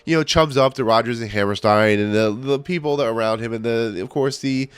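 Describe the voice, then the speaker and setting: A man's voice: mid-range voice, a little fast, smooth, dry recording. A man losing his voice, casually reviewing a film on his channel, rambling with lots of fillers and restarts. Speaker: movie reviewer, channel host.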